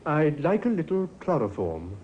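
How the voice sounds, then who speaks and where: A man's voice: fairly high pitch, huge pitch swings, moderate pace, darkish tone. An actor playing a polite, pliable and hesitant young clergyman in a 1950s radio drama. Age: young adult. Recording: muffled, noisy old radio recording.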